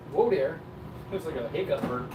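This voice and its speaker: A man's voice: on the high side, huge pitch swings, measured pace, heavy background noise. A man casually chatting to viewers while cooking at home, rambling in an easygoing way. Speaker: cooking livestream host. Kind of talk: casual live-stream chat while cooking.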